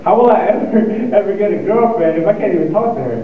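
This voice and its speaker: A young man giving a motivational speech to an audience, speaking with a stutter and pausing, repeating words as he goes. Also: sits high, a little hiss or hum. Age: young adult. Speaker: student speaker. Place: lecture hall. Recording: echoey hall, tinny and far off.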